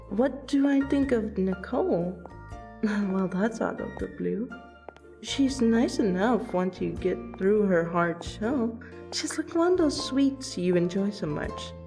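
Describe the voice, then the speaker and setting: A fairly high-voiced vampire woman played by an actress talks to her close friend in an audio roleplay. She is teasing and affectionate, with an unhurried delivery and dramatic pauses. Soft music plays behind her. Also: vocal fry.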